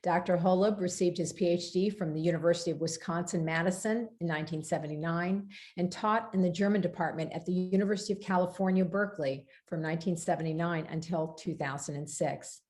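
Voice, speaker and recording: A woman hosting a virtual bookstore event, reading a prepared introduction in a measured, formal way over a video call. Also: lowish voice, fairly even intonation, a little mellow.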